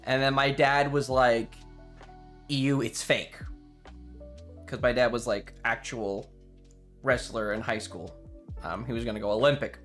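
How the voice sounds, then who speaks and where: A man's voice: mid-range voice, fairly even intonation, a little slow, pauses often, a bit bright. A man chatting casually on a livestream, rambling enthusiastically with some casual swearing. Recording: music playing behind the voice. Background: music.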